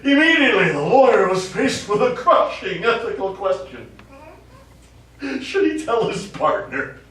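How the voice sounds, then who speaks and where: A crass, tipsy lawyer, played on stage by a man with a fairly high voice. He tells his stories in a pushy, theatrical way and brushes objections aside. The sound is very echoey, picked up from far off in a small theatre. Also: very animated, a little slow, a bit bright, heavily acted. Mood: smug, jocular. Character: crass, boozy, joke-telling male lawyer who ignores objections. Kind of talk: live stage play acting. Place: small theatre studio, distant microphone.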